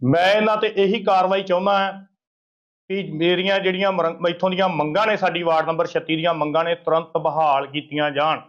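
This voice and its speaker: A high-voiced man gives a political complaint to the press. He is emphatic and indignant, circling back over his points. The sound is a dull field recording. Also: steady tone, fairly quick, fairly bright tone. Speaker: local opposition party leader giving a media interview.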